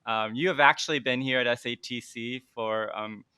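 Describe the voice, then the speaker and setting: A man's voice: medium pitch, moderate pace, pushed and bright, clean tone, no room sound. A man hosting a casual interview podcast, asking questions in an upbeat, friendly way with easy laughs. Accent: Chicago. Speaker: podcast host.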